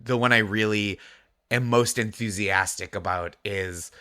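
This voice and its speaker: A man with a light Massachusetts accent chats on a podcast with animated energy and frequent laughs. His voice sits slightly low but rings bright and forward. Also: measured pace. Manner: animated, chatty, laughs often. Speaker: podcast guest co-host. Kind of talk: casual chat.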